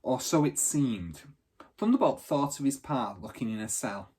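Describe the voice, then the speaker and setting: A man narrating a children's storybook aloud in an expressive, teacherly reading style. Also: medium pitch, normal speed, breathy edge.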